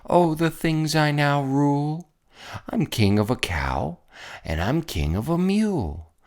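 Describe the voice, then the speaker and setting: Tyrant turtle king for a picture book, put on by a narrator: pompous, commanding, highly animated, unhurried. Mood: gloating.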